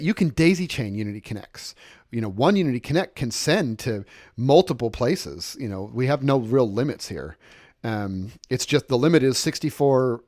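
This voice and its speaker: Man giving a dry, informal software walkthrough. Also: medium pitch, dry recording. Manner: explanatory, step-by-step, repeats points for emphasis. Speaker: software instructor and demonstrator. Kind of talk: informal screen-share software tutorial.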